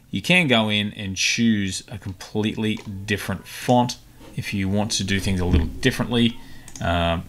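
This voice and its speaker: A man hosting a casual software tutorial, talking informally and a little hesitantly as he thinks aloud. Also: fairly low pitch. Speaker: tutorial host. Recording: clicks and typing, faint background noise. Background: keyboard typing and mouse clicks.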